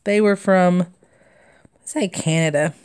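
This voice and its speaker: Southern lady in her 30s chatting away on a live stream, laughs a lot, kinda low-pitched. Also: takes it slowly, darkish tone, smooth. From Southern United States. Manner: chatty and rambling, laughs often, reads out viewer comments. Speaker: cross-stitch livestream host. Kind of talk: casual live-stream chat.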